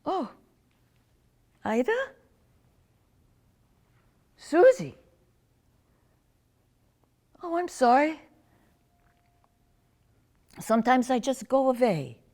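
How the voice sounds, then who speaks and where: A woman's voice: high-pitched, sing-song, extremely slow, long pauses, darkish tone, exaggerated voice. Lady doing an old granny in a nursing home, teasing, chatty, voice goes up and down a lot. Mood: confused, haunted. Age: older. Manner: gossipy, teasing, drifting into confusion. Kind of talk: one-woman stage monologue.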